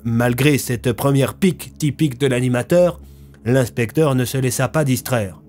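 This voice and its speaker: Male story narrator over soft music. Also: medium pitch, normal speed. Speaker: narrator of a fiction podcast. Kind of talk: scripted French story narration.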